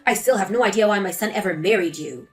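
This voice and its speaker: A woman doing a low, spiteful old mother-in-law. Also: fast talker, soft background music, close to an everyday voice. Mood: scornful. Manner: scolding, contemptuous. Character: spiteful older mother-in-law, scornful and cold. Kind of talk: scripted text-story drama reading.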